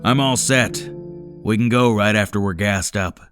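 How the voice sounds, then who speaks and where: A man's voice: fairly low pitch, a little slow, over music, lightly acted. A man playing a frank, world-weary old man, the elder of a survivor camp, in a dramatic comic dub. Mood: matter-of-fact.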